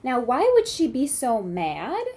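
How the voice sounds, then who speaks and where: A woman teacher reading a picture book aloud to children, expressively, pausing to ask questions and check understanding. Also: a little high, wildly swooping pitch, dark tone.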